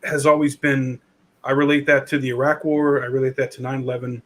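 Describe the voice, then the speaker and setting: A man's voice: medium pitch, little pitch movement, brisk. A man hosting a casual conversation show, talking informally like a guy among buddies. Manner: informal, says "dude" and "man". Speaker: podcast host.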